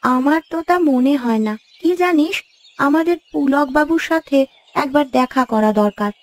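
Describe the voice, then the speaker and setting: A woman's voice: high voice, moderate pace, natural voice. A woman playing an earnest, thoughtful young village boy in a cartoon fairy tale. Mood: thoughtful, anxious. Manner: explanatory, earnest.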